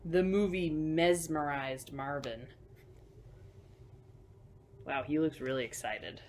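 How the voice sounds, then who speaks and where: A woman hosting a children's storytime, reading picture books aloud with emphatic delivery and hushed asides. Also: low-pitched, fairly even intonation, slow pace, a little hiss or hum. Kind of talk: picture book read-aloud for young children.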